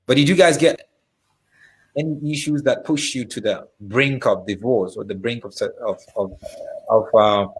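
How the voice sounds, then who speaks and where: A man's voice: mid-range voice, normal speed, breathy edge, poor audio. A man hosting an interview who asks long, rambling questions. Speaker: host.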